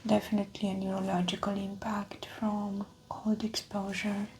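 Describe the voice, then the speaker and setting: A woman whispering softly in an ASMR medical exam roleplay, calmly talking through each step of the check. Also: medium pitch, normal speed, slight background noise, poor audio.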